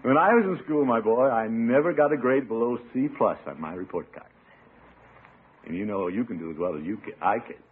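A man's voice: mid-range voice, animated, narrow, tinny audio, natural voice. A man playing a genial, pompous middle-aged uncle with an avuncular manner in a 1950s radio sitcom. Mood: pompous, probing. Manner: avuncular, drawn-out, self-satisfied. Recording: thin, hissy old radio recording.

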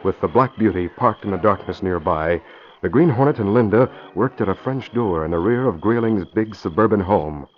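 Lively American old-time radio announcer man. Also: on the low side, normal speed. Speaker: announcer and narrator. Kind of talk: 1940s radio announcing, scripted narration and ad. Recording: muffled vintage radio recording.

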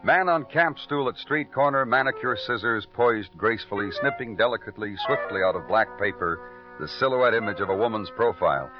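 Male actor, world-weary male police detective, 1950s radio drama, poetic narration, very animated intonation, music underneath, dull vintage sound. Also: fairly low pitch, fairly quick, lightly acted. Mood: brooding, poetic. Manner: clipped, dry delivery in dialogue, florid in narration. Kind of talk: 1950s radio crime drama acting, lyrical scripted narration. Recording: muffled old radio recording.

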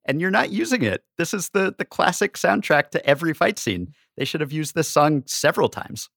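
A man's voice: a little high, expressive, brisk, gravelly, a bit bright, dry recording, clean studio sound. A man talking casually as a guest in a podcast discussion of films and games. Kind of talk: casual film and game discussion.

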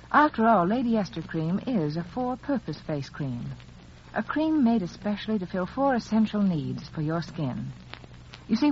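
Lower-voiced woman, 1940s radio advertising. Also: lo-fi sound. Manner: persuasive, carefully phrased storytelling pitch. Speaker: commercial announcer for a face cream. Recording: band-limited old radio recording, faint noise.

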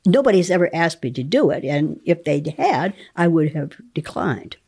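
A woman reading research text aloud in a deliberate, articulate way, breaking off for wry spontaneous asides. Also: low-pitched, sing-song, a little mellow, husky. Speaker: guest reader and commentator.

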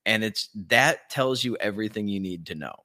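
Low-pitched man in casual podcast banter. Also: animated, moderate pace, bright, forceful tone. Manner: informal, slangy, opinionated. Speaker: podcast co-host.

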